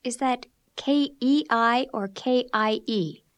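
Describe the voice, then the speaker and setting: A woman voicing a polite receptionist who takes names in a scripted textbook dialogue, speaking clearly and deliberately. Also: fairly high pitch, no echo. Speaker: office receptionist.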